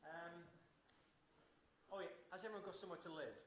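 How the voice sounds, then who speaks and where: Male orientation organiser, loud and chatty, slightly high, echoey lecture room. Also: brisk. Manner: relaxed, chatty, with many fillers. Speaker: international student orientation organiser. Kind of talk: welcome talk to new students. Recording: echoey room, faint background noise.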